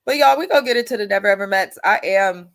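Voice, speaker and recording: A young woman hosting a casual TV recap, congested and a bit nasal from allergies, blunt and animated, swearing freely. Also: medium pitch, fast. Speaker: host.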